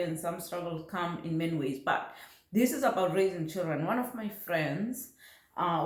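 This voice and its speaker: Low-voiced South African woman, echoey room. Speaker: parenting vlogger. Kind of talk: casual personal talk to camera. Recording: roomy, echoey home recording.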